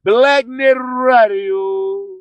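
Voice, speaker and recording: A man's voice: very high voice, extremely slow, clear, resonant tone, no room sound, lo-fi sound. A man hosting an online radio show, delivering hype announcements, slogans and sponsor reads emphatically, repeating phrases for effect.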